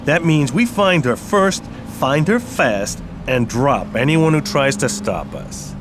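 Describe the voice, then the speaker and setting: Tough, animated mercenary. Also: male voice, fairly high pitch, takes it slowly. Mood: determined. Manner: commanding, direct. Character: decisive, tough male mercenary team member. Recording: clear ambient noise behind.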